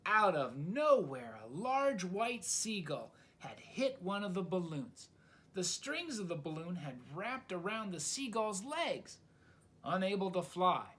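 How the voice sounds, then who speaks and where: A man reading a children's story aloud in an expressive, dramatic storytelling style. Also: high-pitched. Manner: dramatic, asks the listener questions.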